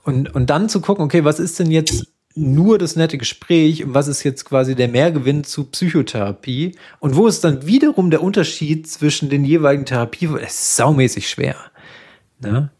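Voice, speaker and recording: A man's voice: a little high, moderate pace, husky. Man explaining casually. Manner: relaxed, explains with fillers and tag questions. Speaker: psychotherapist guest. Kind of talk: casual podcast conversation.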